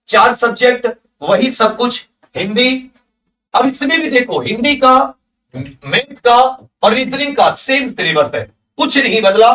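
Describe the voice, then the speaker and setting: A man's voice: very high-pitched, sharp tone. A man teaching an exam class, reading out syllabus items one by one with short comments and English technical terms mixed in. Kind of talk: lesson, reading through a syllabus list with brief comments. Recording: echoey room, distant microphone.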